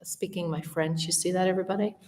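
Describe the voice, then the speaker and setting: A woman's voice: fairly low pitch, fairly even intonation, fast, mellow, big-room sound. A woman with a Northern Irish accent, chatting casually and directly to her viewers in a live stream from a large old house. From Northern Ireland. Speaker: artist livestreaming to viewers.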